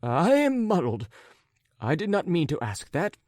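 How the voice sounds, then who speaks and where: A flustered middle-aged gentleman from a storybook, acted out by a man, pitched high and swooping up and down. He is wordy and apologetic, forever stumbling and correcting himself in an agitated, muddled way. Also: wildly swooping pitch, a little slow, no echo, clean studio sound, exaggerated voice.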